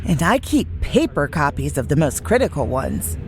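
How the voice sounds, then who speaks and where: A woman's voice: sits low, very animated, normal speed, music in the background. A woman acting an exasperated, orderly ship's officer in a science fiction audio drama. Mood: defensive.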